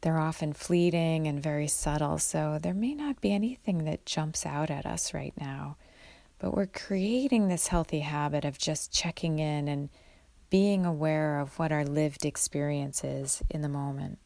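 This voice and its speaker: A woman guiding a mindfulness meditation in a gentle, unhurried way, leaving long pauses between instructions. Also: low voice, creaky, no room sound. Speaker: guided meditation leader.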